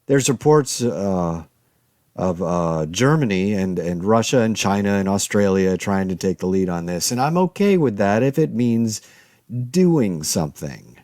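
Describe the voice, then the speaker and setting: A man playing an anxious, wry survivor of a zombie apocalypse, talking through his thoughts in a halting, exasperated audio drama monologue. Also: on the low side, lively intonation, darkish tone, dry recording, clean studio sound. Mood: hesitant.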